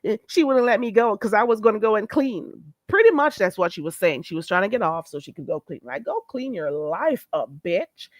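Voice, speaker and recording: A woman's voice: medium pitch, animated, a little fast, hardly any pauses, no echo. A woman hosting a live stream, trading blunt, profane gossip and greeting her viewers, a little stuffy-nosed. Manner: greets and thanks viewers mid-talk. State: stuffy nose. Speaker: livestream host.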